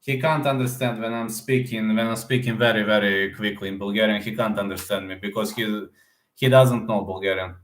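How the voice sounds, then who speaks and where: A man's voice: on the low side, fairly even intonation, fairly quick, echoey room. A young man, chatting casually with viewers on a livestream and answering their questions, often repeating his phrases. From Serbia. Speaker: livestream host.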